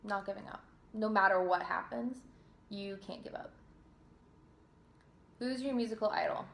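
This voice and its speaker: A young woman from New Jersey chats through viewer questions in a relaxed, self-deprecating way, often restarting and trailing off. Her voice sits at a middling pitch, and the room gives it a noticeable echo. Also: moderate pace, vocal fry, a little hiss or hum. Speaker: singer and vlogger. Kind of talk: casual Q&A reading viewer questions. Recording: roomy echo.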